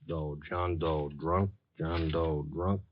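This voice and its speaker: A man's voice: extremely low pitch, fairly even intonation, slow. A man playing an obliging, seasoned American police sergeant in a 1950s radio drama, casual and matter-of-fact. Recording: dull noisy vintage radio recording.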